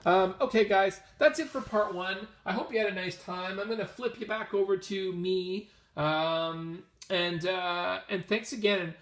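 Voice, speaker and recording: A man's voice: sits high, unhurried, hardly any pauses, a bit bright, echoey room. A man hosting a casual, rambling comics vlog, chatting and thinking aloud as he addresses his viewers directly. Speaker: host of a comics video channel.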